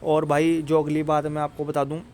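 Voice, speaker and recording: A man's voice: on the high side, monotone, normal speed, a little mellow. A man giving informal career advice, colloquial and repetitive, often adding little checking tag phrases. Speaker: exam and career advice presenter. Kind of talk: informal explanatory career talk.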